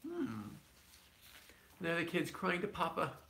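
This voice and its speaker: A man's voice: a little high, huge pitch swings, slow, vocal fry, pushed and bright, slight background noise. A man reading a picture book aloud to his children, with conversational asides. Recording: echoey room.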